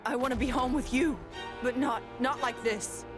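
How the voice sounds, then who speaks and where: A woman's voice: sits high, steady tone, moderate pace, close to an everyday voice. A woman playing a tough, guarded female android wife in an anime game, with a firm manner. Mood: pained, resistant. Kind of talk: dubbed anime game voice acting. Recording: music under the voice.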